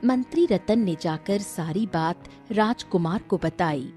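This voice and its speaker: A woman narrates a scripted tale in storybook fashion. Her tone is mellow and she takes her time over each sentence, with light music playing behind her. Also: fairly low pitch, expressive, slow.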